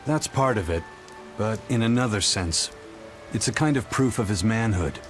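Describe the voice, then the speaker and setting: A man playing a gruff, stoic cursed pirate in a video game, blunt and deadpan. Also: on the low side, moderate pace, close to an everyday voice. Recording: game music under the voice.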